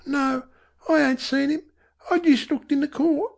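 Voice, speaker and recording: A woman voicing a defiant, streetwise slum boy with speech and rough slang in an audiobook. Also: sits high, fairly even intonation, a little slow, darkish tone, voice pushed up. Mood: guarded. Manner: rough dropped-grammar slang. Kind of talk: audiobook character voice.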